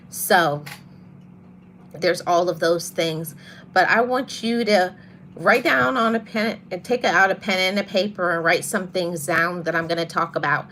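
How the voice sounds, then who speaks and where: A woman hosting a motivational podcast, talking to her audience in a soft voice and repeating her key phrases for emphasis. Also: medium pitch, slight background noise. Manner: greets live viewers mid-talk. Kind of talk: live-streamed motivational talk.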